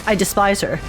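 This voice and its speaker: A woman streaming a video game, reacting to the story in casual, animated, expressive commentary and talking back to it. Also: medium pitch. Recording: game music behind the voice. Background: video game music.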